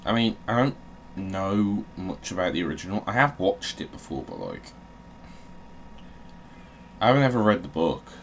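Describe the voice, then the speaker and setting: A man's voice: low voice, sing-song, normal speed, pauses often. A man giving casual video game commentary, swearing and grumbling jokily as he plays. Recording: clearly noisy background.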